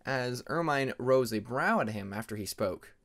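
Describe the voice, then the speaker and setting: A man's voice: medium pitch, animated, moderate pace, a bit bright. A man reading scripted story narration as an audiobook narrator.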